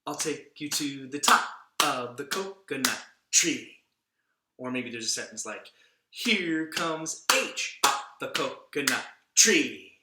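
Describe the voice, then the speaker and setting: A man's voice: mid-range voice, expressive, slow pace, very noisy. A man playfully and emphatically teaching a clapping activity to children, drawing out the words he demonstrates. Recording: echoey room.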